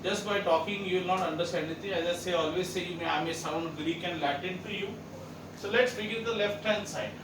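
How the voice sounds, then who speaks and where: A man's voice: high-pitched, brisk, recorded from a distance. A man teaching a math lesson, walking students through each step with repeated questions. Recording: echoey room, voice set back from the mic, background noise.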